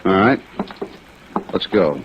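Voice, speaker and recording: Very deep, laconic radio cop. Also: male voice, animated, slow. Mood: cautious. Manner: matter-of-fact, laconic. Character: steady, practical male police officer, detective's ally. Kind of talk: old-time radio drama acting. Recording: dull old radio recording, loud rain noise.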